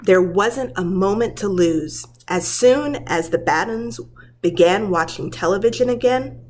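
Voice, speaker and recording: A woman who works as a preschool teacher, reading a children's story aloud in a dramatic, deliberate storytelling manner. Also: mid-range voice, darkish tone.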